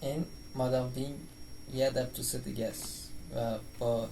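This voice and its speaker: A woman's voice: extremely low pitch, fairly even intonation, very fast, creaky, warm tone, a little hiss or hum, rough recording. A woman casually commenting over a video game as she plays. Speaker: game streamer. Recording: game music behind.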